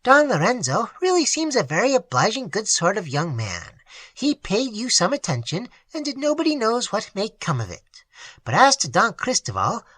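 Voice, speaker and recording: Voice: medium pitch, huge pitch swings, moderate pace, fairly bright tone, pitched above the performer's own voice. A reader voicing a vain, chattering older aunt who gushes and boasts in a classic audiobook. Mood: gushing. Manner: gushing, boastful. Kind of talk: audiobook reading of a classic novel.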